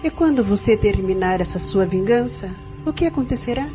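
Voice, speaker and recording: Mellow, patient woman counsellor played by an actress. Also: medium pitch, expressive, brisk, soft background music, lightly acted. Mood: patient, persuasive. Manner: earnest, patient, persuasive. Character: gentle, firm woman counselling a troubled spirit. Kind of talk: audio drama acting. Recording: dull lo-fi audio drama recording.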